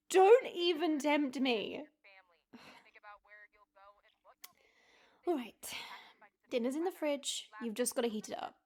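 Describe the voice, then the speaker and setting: Woman as high-voiced, affectionate, feisty wife. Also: expressive, extremely slow, long pauses, a little mellow, full character voice. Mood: playful. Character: affectionate, feisty, patient young wife of an assassin. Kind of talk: audio roleplay drama.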